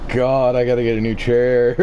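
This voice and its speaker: A man with a deep voice streaming a game live, chatting casually and laughing often. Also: lively intonation, slow pace, muffled recording, noisy background. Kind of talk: casual live gameplay chat.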